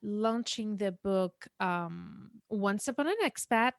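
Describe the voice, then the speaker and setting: A woman hosts a podcast interview with bright enthusiasm, gushing over her guest in a mid-range voice. The recording is close and dry, with no room sound around her. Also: mid-range voice, slow, creaky, no room sound. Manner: enthusiastic, effusive. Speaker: podcast host and business coach.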